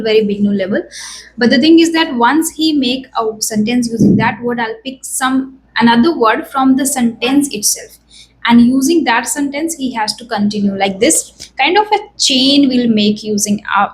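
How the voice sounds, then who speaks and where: A woman, a tutor guiding a speaking exercise in a clear, instructive way. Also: a little high, a little hiss or hum, poor audio. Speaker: English tutor.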